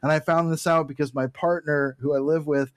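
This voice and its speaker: A man's voice: on the high side, steady tone, brisk, no room sound. A man co-hosting a podcast in casual chat, telling things in a rambling, digressive way. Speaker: podcast co-host.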